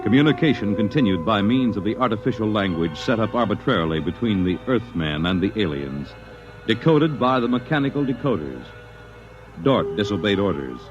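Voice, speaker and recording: A man's voice: on the low side, lively intonation, fairly quick, close to an everyday voice. A man playing the solemn narrator of a science fiction tale, in dramatic scripted old-radio style. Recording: dull old radio recording with loud music.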